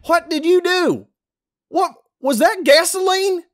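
Shrill, scolding story character. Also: male voice, slow, heavily acted. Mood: indignant. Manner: indignant, animated.

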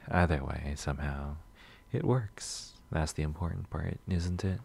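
A man playing a shy, sweet, nervous coffee shop regular in a soft-spoken ASMR roleplay, gentle, hesitant and rambling. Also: very deep voice, unhurried, creaky, a little hiss or hum, exaggerated voice. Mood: calm, musing. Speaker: roleplay audio performer.

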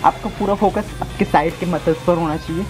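High-voiced young man coaching over music. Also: steady tone, a little fast. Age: 20s. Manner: casual, instructive, repeats phrases. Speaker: amateur home fitness instructor. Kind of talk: workout demonstration talking to viewers. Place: outdoors in a brick-walled yard or rooftop. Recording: loud music behind voice.

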